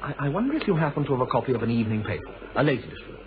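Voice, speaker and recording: An English actor playing a nervous, polite, suspicious motorist in a British radio thriller, speaking hesitantly. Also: male voice, mid-range voice, big pitch swings, racing, close to an everyday voice. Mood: nervous, confiding. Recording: noisy, tinny old radio drama recording.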